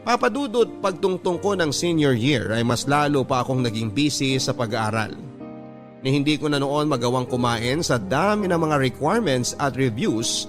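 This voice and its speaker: Filipino male radio host, medium pitch, scripted storytelling narration, clear music bed underneath. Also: animated, moderate pace. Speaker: radio host reading listener letters.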